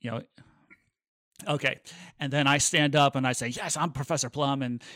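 A man co-hosting a casual podcast, speaking at an average pitch. He rambles enthusiastically and jokes around like a musician among friends. The sound is dry and free of room echo. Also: steady tone, moderate pace, fairly bright tone.

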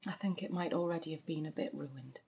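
A woman's voice: low voice, brisk, warm tone. An actress playing a practical, self-controlled grieving sister and mother in a naturalistic audio drama. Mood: dry, careful. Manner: understated, dry humour. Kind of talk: naturalistic audio drama acting, comedy and pathos.

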